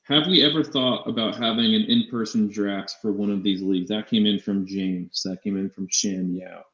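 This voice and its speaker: A man co-hosting a casual fantasy sports live show, talking in a halting way with repeated and restarted phrases. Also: fairly low pitch, steady tone, fairly bright tone. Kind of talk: casual sports talk banter. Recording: echoey room, dull lo-fi mic.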